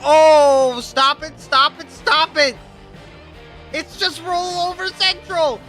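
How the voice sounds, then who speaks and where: High-pitched guy yelling and mumbling through a wrestling game, swears a lot, game music faint behind. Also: takes it slowly. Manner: excitable, swearing, laughing, swings from mumbling to yelling. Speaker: gaming YouTuber. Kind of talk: casual wrestling game commentary.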